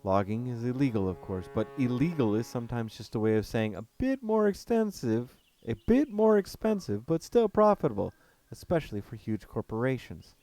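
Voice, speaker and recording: A man reading a story aloud, voicing a calm but bitter male forest guardian with a harsh German accent who speaks loudly and earnestly. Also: medium pitch, normal speed, pitched above the performer's own voice. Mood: earnest, preaching. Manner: earnest, loud, preaching.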